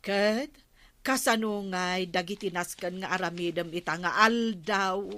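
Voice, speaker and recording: A woman's voice: fairly low pitch, a little slow, fairly bright tone. A woman with an Ilocano accent playing the exasperated, nagging wife of a lazy village captain in a radio comedy drama. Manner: scolding, exasperated.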